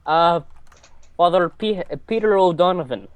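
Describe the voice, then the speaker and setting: A man's voice: high voice, lively intonation, a little slow, clean tone, no room sound. A man chatting casually as a player in a tabletop game. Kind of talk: casual chat.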